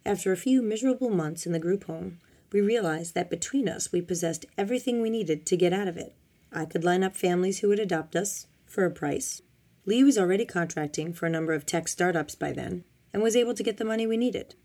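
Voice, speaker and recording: Woman as a composed, guarded man, mellow. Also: on the low side, brisk. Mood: reserved. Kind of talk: audiobook character dialogue.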